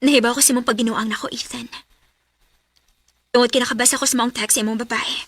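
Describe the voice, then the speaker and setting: High, breathy, watchful woman. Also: steady tone, fast, a bit bright. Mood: hurt, resolute. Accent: Cebuano. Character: watchful woman in a strained romance. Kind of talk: radio drama acting.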